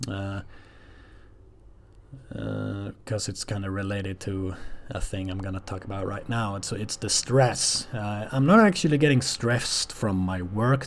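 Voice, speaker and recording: A man with a low voice talks off the cuff, as if keeping a spoken diary. His delivery is casual and halting, wandering from thought to thought in plain, sometimes sweary language. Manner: hesitant, halting, frequently swearing. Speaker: audio log vlogger. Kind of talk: casual rambling personal audio log.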